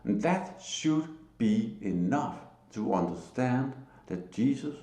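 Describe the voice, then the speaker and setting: A man from Denmark with a Danish accent, giving a solo religious talk to camera in a halting, emphatic way. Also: medium pitch, expressive, dark tone, roomy sound. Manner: slow, halting, emphatic, with short laughs. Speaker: lay preacher.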